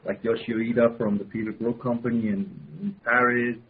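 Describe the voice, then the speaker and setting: Husky Swiss man on a phone line. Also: mid-range voice, flat delivery. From Switzerland. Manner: halting, restarts phrases, laughs often. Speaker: guest. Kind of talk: casual interview. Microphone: telephone. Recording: phone-line call-in audio.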